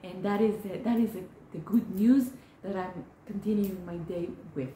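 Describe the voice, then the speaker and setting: Mellow, earnest woman. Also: mid-range voice, a little hiss or hum. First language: Hebrew. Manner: earnest, encouraging, halting with frequent restarts. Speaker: therapist. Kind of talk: direct-to-camera advice talk. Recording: roomy echo.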